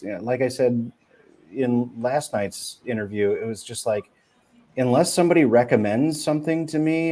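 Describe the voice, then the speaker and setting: A man interviewing a guest in a relaxed, enthusiastic way, with many fillers and quick questions. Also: mid-range voice. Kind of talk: casual chat.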